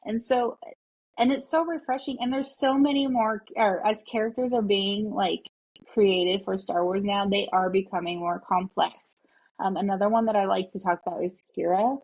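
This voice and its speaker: A woman's voice: mid-range voice, fairly even intonation, normal speed, a little mellow, husky, muffled recording. A woman guest on a casual interview, an enthusiastic fan who sometimes drops to a whisper. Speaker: podcast guest, mother.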